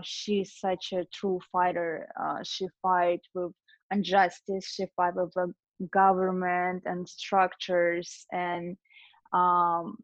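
A woman's voice: on the low side, no room sound. A woman DJ, answering interview questions thoughtfully with many fillers. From Lithuania, raised in Russia. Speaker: guest DJ. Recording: dull dry lo-fi sound.

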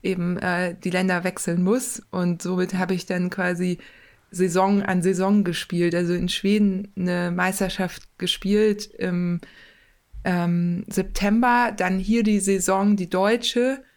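A woman, a former rugby player and mental coach, chatting casually in an interview, talkative and relaxed with plenty of fillers and self-corrections. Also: fairly low pitch, slow.

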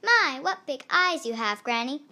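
A woman's voice: high voice, animated, measured pace, bright, forceful tone, voice pushed up, heavily acted. A woman voicing a curious, spirited young girl from a storybook, exclaiming wide-eyed.

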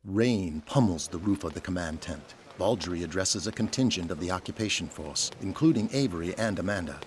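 A low-voiced man narrates an audio drama with a very lively, varied delivery. He reads scripted scene descriptions over clearly audible background noise. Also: a little fast.

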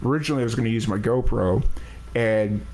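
A man's voice: lowish voice, quick pace, a little mellow, low-quality recording. A man hosting a podcast live stream, talking in a rambling, hesitant, self-deprecating way. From United States. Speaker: podcast host. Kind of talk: casual live-stream chat.

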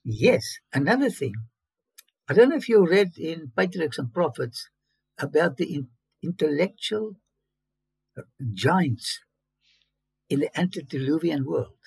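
A fairly high-voiced man, raspy and gravelly, recounting his own story in English with sudden emphatic bursts. His speech halts and restarts as he goes. The sound is dry and close, with no room around it. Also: expressive, measured pace, pauses often, darkish tone, no room sound, poor audio. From South Africa. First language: Afrikaans. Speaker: interview guest.

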